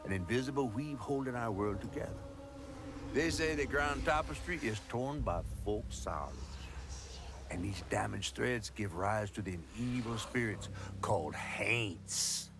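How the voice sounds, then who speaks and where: An actor playing a folksy Southern US storyteller, narrating a game tale in a theatrical, measured storybook manner. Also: male voice, on the low side, lively intonation, background noise. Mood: mysterious, portentous. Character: folksy Southern male storyteller spinning a legend. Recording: music under the voice. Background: clear background music.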